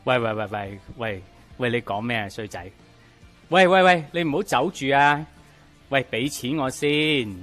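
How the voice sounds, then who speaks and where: A coarse old hong kong father in audio drama, halting n slow, groany, music behind. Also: mid-range voice, expressive, fairly bright tone. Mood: bullying, demanding. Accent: Hong Kong Cantonese. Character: grasping, abusive old father, pleading when hurt. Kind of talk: radio drama acting.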